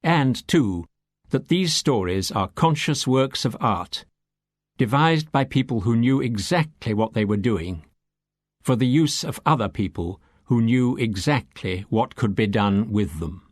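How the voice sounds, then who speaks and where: A man reading scripted, lecture-like exposition for an audiobook in a measured, deliberate way, with emphatic phrasing. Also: medium pitch, animated, no echo, clean studio sound. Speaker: audiobook reader.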